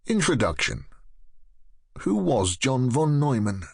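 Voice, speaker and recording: A man reads a nonfiction audiobook in a measured, articulate way, his pitch rising and falling in a lively, expressive line. He sits close to a studio microphone in a dry, dead booth with no room sound at all. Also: creaky, husky, no room sound. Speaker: audiobook narrator. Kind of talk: scripted audiobook narration.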